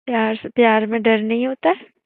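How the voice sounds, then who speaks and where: A woman's voice: a little high, little pitch movement, brisk, no room sound. A woman chatting casually and intimately on a phone call, in a coy, hesitant, questioning manner. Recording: tinny, band-limited phone call recording.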